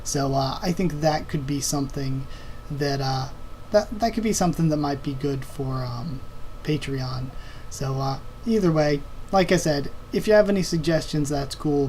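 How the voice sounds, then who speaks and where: A man hosting a casual online Q&A, talking informally and haltingly as he thinks aloud, his voice a little strained and tiring. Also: fairly high pitch, darkish tone, slight background noise. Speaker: online video host. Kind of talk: casual Q&A commentary on anime and comics.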